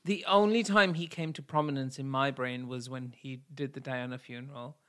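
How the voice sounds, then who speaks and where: Bright-voiced playful man co-hosting. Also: mid-range voice, steady tone, normal speed. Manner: playful, teasing, laughs often. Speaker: podcast co-host. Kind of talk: casual banter.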